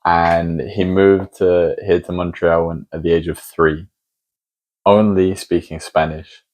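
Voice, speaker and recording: A man's voice: deep voice, level tone, slow, a little mellow. A man hosting a podcast, asking long, thoughtful hypothetical questions in a casual conversation. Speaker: podcast host.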